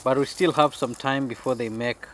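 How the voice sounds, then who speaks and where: Faint outdoor noise surrounds a man with a Kenyan accent. He speaks at a middling pitch in a casual, conversational style, as a family vlogger talking while out in the garden. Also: steady tone, moderate pace. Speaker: family vlog host.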